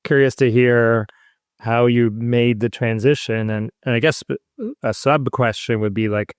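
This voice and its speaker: A man hosting an interview podcast in a chatty, friendly way, slipping in jokes at his own expense. His voice sits at an ordinary middle pitch with no accent, though he grew up in Scotland. The sound is dry and close, with no room around it. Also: fairly even intonation, a little slow, smooth, no echo. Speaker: podcast host. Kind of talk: interview podcast, casual chat.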